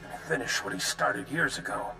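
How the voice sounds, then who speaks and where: Grizzled space general, gravelly, forceful, grave and commanding, roomy echo, game cutscene. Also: male voice, mid-range voice, expressive, normal speed, heavily acted. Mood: grave, resolute. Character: resolute aging male Republic military general. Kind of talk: dramatic video game acting. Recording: roomy echo, faint music.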